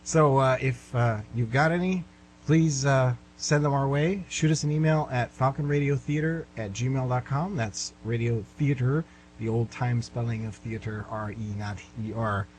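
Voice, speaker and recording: A man's voice: medium pitch, moderate pace, a little mellow, rough recording. A man hosting a radio theater show, giving an informal introduction. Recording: hum and clear background noise.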